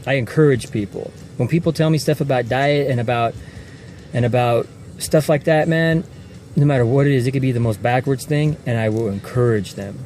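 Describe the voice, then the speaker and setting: A man's voice: medium pitch, fairly quick, darkish tone, faint music behind. Rambling man in his forties, car vlog. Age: 40s. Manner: rambling, repeats words and restarts often. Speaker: fitness vlogger. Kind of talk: casual talk to camera. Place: inside a car at night.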